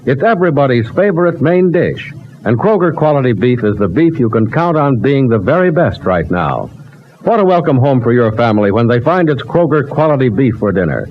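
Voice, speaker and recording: A male vintage radio announcer with a polished, emphatic sales delivery. His mid-range voice swings expressively in pitch, on a dull old recording with faint noise. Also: big pitch swings, a little fast.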